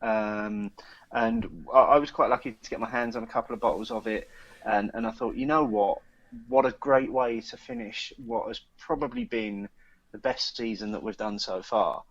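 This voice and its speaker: A man's voice: lowish voice, fairly even intonation, moderate pace, no room sound, dull audio. A man co-hosting a podcast, reading the news and chatting casually with many ums and restarts. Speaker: podcast co-host.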